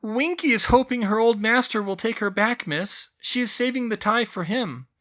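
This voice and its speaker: A reader voicing Tilly, an older female house-elf teacher who squeaks in broken grammar. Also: moderate pace, fairly bright tone, lightly acted. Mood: earnest.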